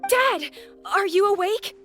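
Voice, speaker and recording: A small, loving child from a game drama, sounding anxious. The voice is extremely high. Faint music runs underneath. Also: female voice, animated, takes it slowly, a bit bright, full character voice. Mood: worried.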